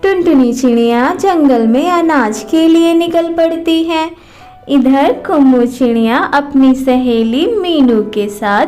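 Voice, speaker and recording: A woman's voice: high-pitched, darkish tone. A woman narrating a scripted children's cartoon story.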